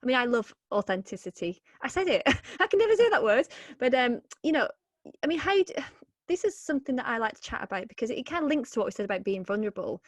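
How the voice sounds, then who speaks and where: A woman's voice: fairly high pitch, big pitch swings, quick pace, no room sound. Woman podcast host, eager and chatty, close dry mic. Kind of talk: casual interview chat.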